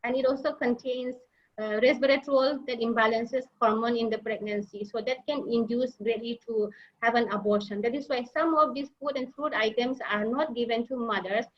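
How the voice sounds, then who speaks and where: A woman giving a slide presentation as a nurse leader, halting often with fillers and restarts. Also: fairly high pitch, steady tone. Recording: dull lo-fi online audio.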